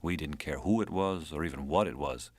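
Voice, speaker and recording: Deep, wry American man acted by a male reader. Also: a little fast, no room sound. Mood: wry, reminiscing. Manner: clipped, colloquial, wry, dropped subjects. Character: aging, earthy, wry American man reminiscing to lover.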